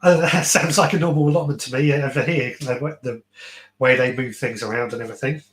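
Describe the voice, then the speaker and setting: A man's voice: medium pitch, steady tone, brisk, fairly bright tone. A man hosting a phone-in show with casual gardening chat, agreeable and a little hesitant, often repeating words. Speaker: podcast host taking phone-ins. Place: garden shed. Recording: echoey, roomy sound.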